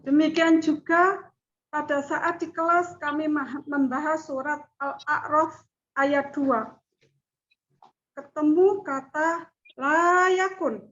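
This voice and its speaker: A middle-aged woman giving a formal class presentation in measured, deliberate speech. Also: sits high, fairly even intonation, a bit bright. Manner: slow, measured speech with chanted recitation. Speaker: student presenter. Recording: dull lo-fi audio.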